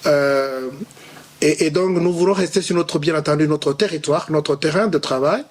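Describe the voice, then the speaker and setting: A man representing an association, answering a question at a press conference in an earnest, halting way. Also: a little high.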